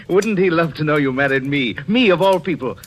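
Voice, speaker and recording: A man's voice: a little high, expressive, normal speed, slight background noise, close to an everyday voice. A man playing a cruel, controlling husband with a suave, sardonic, commanding manner in 1940s radio suspense style. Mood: sardonic, mocking. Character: cruel, menacing, controlling husband, coldly mocking his wife. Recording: old radio broadcast with music behind.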